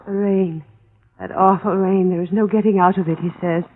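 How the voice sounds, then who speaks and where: A woman's voice: medium pitch, steady tone, moderate pace, natural voice. A woman playing the anxious, brooding wife of a missing man in a 1950s radio drama, speaking formally. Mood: brooding, tense. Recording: tinny, faintly noisy vintage radio recording.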